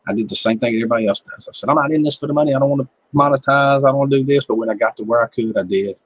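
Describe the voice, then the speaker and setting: A man's voice: mid-range voice, rapid-fire, fairly bright tone, low-quality recording. A man in his fifties with a Southern American accent, chatting casually on a livestream in a laid-back, joking, folksy way. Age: 50s. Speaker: livestream host, gardening channel. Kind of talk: casual chat reading viewer comments.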